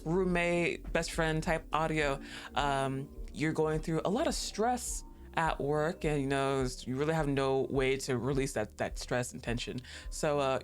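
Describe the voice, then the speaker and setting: A young woman streaming, chatting casually and rambling, laughing often and swearing offhandedly. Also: deep voice.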